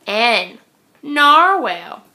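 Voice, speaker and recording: A woman reading a rhyming picture book aloud to young children in an expressive, deliberate, sing-song manner. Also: fairly high pitch, poor audio.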